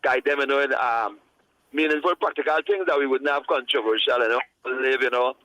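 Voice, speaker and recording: A man calling in to a radio show, talking earnestly and a bit preachily, with halting restarts. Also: medium pitch, bright, forceful tone, dry recording, lo-fi sound. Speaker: caller. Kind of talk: casual call-in chat.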